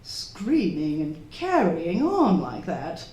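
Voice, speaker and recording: Domineering wife, high wildly swinging voice, haughty, scolding, drawn-out, live radio play. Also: female voice, wildly swooping pitch, warm tone, exaggerated voice. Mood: haughty indignation. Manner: imperious, indignant, scolding, drawn-out theatrical delivery. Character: domineering, theatrical society hostess and would-be spirit medium wife. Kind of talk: live radio drama acting. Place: stage of a live theatre performance. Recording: roomy echoing live stage recording.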